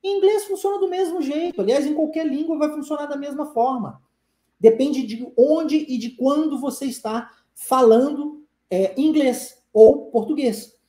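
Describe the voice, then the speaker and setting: A man's voice: very high-pitched, moderate pace, dark tone, echoey room. A man in his forties, born in Brazil and raised in the United States with English as his first language, teaching a live online lesson to his viewers. He talks emphatically and persuasively and keeps firing rhetorical questions at them.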